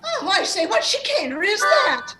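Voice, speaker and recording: A woman's voice: very high voice, very animated, normal speed, heavy background noise. A woman playing a haughty, easily affronted English lady in a comic radio play, melodramatic and indignant. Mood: indignant alarm. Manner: melodramatic, indignant, posh exclamations.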